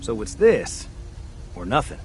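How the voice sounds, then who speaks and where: A man's voice: on the low side, huge pitch swings, measured pace, darkish tone, slight background noise, low-quality recording. An actor voicing a friendly male mechanic in a game who eagerly explains a plan step by step.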